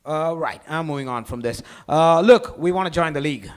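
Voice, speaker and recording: A man's voice: a little high, fairly quick, lightly acted. A man acting a smug, argumentative schemer in a live comedy table read, with a mocking, emphatic delivery. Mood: defensive, impatient. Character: smug, argumentative, taunting male bar-owner schemer.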